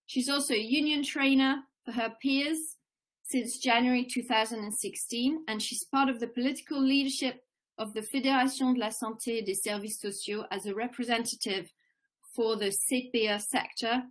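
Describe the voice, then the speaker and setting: Woman on a laptop video call reading out speaker bios, a bit high voice, steady and formal. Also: rough recording. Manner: steady, formal, occasional fillers. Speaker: symposium co-organizer introducing speakers. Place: home room with plants and shelves. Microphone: laptop webcam on a video call.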